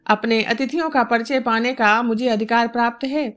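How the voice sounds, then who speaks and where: A woman voicing a stern, composed young male yogi in a storybook reading, with grave, deliberate, formal pronouncements. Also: on the high side, close to an everyday voice. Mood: stern, reserved. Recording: music bed behind the voice.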